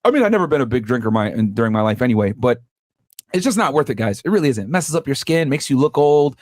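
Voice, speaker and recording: A man's voice: mid-range voice, big pitch swings, fast talker, a little mellow, no echo, clean studio sound. A man in his thirties on a podcast, giving advice straight to the viewers and calling them guys in a casual, lecturing way. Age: 30s. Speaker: podcast co-host.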